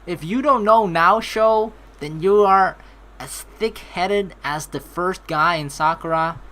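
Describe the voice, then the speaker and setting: A woman hosting a let's play, reading story narration aloud and reacting with animated, excitable commentary. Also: lowish voice, takes it slowly.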